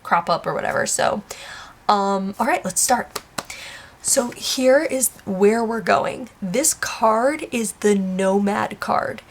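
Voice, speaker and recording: A congested woman giving a casual past life card reading, rambling and searching for words, with soft whispered asides. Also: mid-range voice. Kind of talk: casual spoken tarot-style reading.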